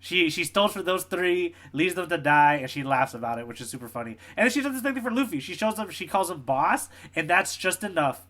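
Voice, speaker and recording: A man's voice: sits high, lively intonation, fairly quick, hardly any pauses, bright, forceful tone. A man co-hosting a podcast in casual conversation, talking in a playful, storytelling way. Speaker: podcast co-host, tour guide. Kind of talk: casual chat, manga readalong podcast.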